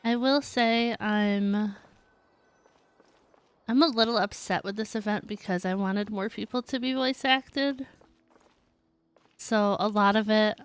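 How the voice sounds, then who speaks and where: A woman's voice: mid-range voice, takes it slowly, pauses often, clear, resonant tone. A woman streaming a video game, chatting casually and reading the game text aloud. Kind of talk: casual gameplay commentary.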